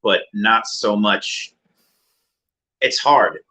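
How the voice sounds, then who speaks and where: Man, deeper mid-range voice, fairly low, bright edge, relaxed interview guest, loose with fillers. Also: very slow. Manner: relaxed, reflective, many fillers. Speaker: music producer and rapper, guest. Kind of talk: casual interview chat.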